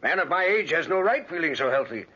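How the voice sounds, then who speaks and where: Lively, chatty old neighbour. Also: male voice, a little high, quick pace, slight background noise. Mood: genial, chatty. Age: older. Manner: rambling, repeats himself for emphasis. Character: chatty, excitable, superstitious older neighbour. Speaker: radio drama actor. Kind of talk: 1940s radio acting. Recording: dull vintage 1940s radio recording.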